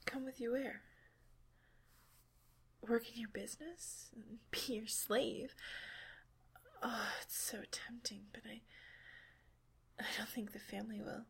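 A woman playing an eager, submissive and sentimental lover in an intimate audio drama, in a breathy, whispery voice with halting, sighing delivery. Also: on the high side, fairly even intonation, exaggerated voice. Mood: hesitant, tender. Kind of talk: intimate scripted audio roleplay for adults.

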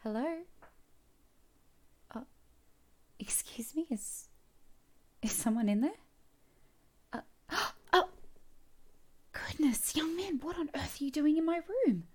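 A woman voicing a teasing, commanding middle-aged mother in a solo audio roleplay. She speaks softly and coaxingly, often in a whisper, close to the mic. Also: fairly high pitch, drawn-out words, long pauses. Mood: startled, scolding.

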